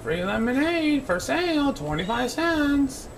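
A man's voice: very high voice, unhurried, creaky, a little hiss or hum, voice pushed up, full character voice. A man voicing an eager, scatterbrained little boy frog in a children's storybook, full of exclamations. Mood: cheerful, announcing. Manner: excitable, exclaiming.

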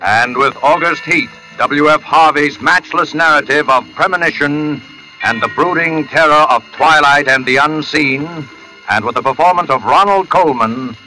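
Forceful 1940s radio drama announcer man. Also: on the high side, normal speed, faint music behind, poor audio.

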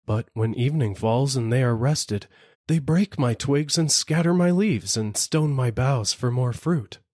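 An old banyan tree, voiced by a man reading a storybook, speaking in a tired, husky voice. It sounds worn out and bitter about ungrateful people. The sound is dry. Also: medium pitch, measured pace, a little mellow, no room sound, voice pushed up, lightly acted. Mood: weary.

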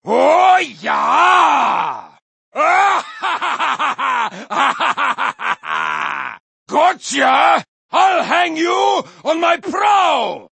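An actor playing a crazed, bloodthirsty male Viking warrior in a racing game, shouting gravelly taunts and battle cries with manic cackles. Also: very high voice, very animated, very slow, full character voice. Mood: manic taunting. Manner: boastful, taunting battle cries, sudden drops to a menacing growl. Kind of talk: video game character voice lines.

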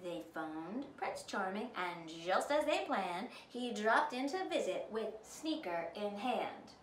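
A woman's voice: medium pitch, big pitch swings, slow pace. A woman in her thirties reading a children's story aloud in a theatrical, emphatic way. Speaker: storyteller, show host. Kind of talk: dramatic children's read-aloud. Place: living room by a fireplace, indoors. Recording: roomy, distant mic, faint noise.

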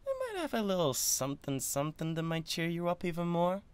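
A man playing an excitable, doting devil in a roleplay audio, stammering and gushing with awkward, playful affection. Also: high voice, a little fast, clear, resonant tone. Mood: tender, awkward. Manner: stammering, gushing, swings from gentle to manic.

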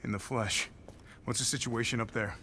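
A man acts out a gruff American military operative in a game cutscene. He is middle-aged and speaks in terse, weary commands at a medium pitch. Loud ambient noise sits around the voice. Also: steady tone, normal speed, lightly acted. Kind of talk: dramatic video game cutscene acting. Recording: battle and outdoor ambience noise.

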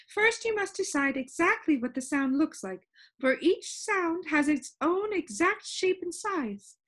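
A woman reading a children's storybook, voicing an excitable, wistful, complaining female keeper of sounds in a theatrical, exclaiming manner. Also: very high voice, a little slow, voice pushed up, full character voice. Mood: eager explaining.

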